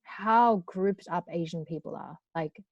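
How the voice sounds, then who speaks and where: A young woman in her twenties with an Australian accent co-hosts a podcast. Her voice sits a little low and she talks in a casual group-chat way. The sound is dry and close, with no room echo. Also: a little slow, lo-fi sound. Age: 20s. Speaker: podcast co-host.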